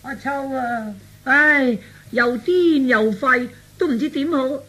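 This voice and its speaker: A woman playing a fretful elderly father in a comic radio drama, agitated and stammering, with a husky, sharp voice. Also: a little high, normal speed. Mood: alarmed, lamenting. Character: flustered, fretful elderly father of a grown son.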